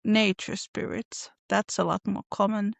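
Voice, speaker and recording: A woman with a Finnish accent talks over a video call as a podcast guest. Her voice has a gravelly texture, and the sound is dry and close. She answers thoughtfully, with frequent fillers and restarts. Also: mid-range voice, fairly even intonation, a little slow, no echo. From northern Finland. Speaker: podcast guest, illustrator and folklorist. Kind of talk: casual interview answers.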